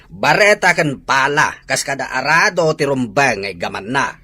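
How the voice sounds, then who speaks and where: A man acting a stern, scornful farmer father with a gruff, scolding manner in a comedy drama. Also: mid-range voice, lively intonation, normal speed, sharp tone, heavily acted.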